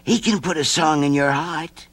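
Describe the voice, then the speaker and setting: A man's voice: on the high side, moderate pace, a bit bright, no room sound, voice pushed up. A man voicing a friendly, encouraging young boy in a storybook reading.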